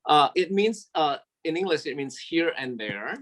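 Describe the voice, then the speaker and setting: A man born in Indonesia with Javanese as his first language, an interior designer talking warmly about his work from his office in a casual interview, often pausing on fillers. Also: fairly high pitch, very animated. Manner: warm, sentimental, halting with many fillers. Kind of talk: casual interview over video call. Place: his office at a city store.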